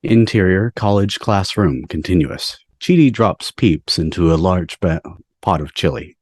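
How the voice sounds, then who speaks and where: A man with a deep voice reads scene directions from a script. The sound is dry, with little room in it, like a home video call. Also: unhurried, darkish tone, no echo. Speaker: stage directions reader. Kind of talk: scripted narration at a table read. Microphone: video call microphone.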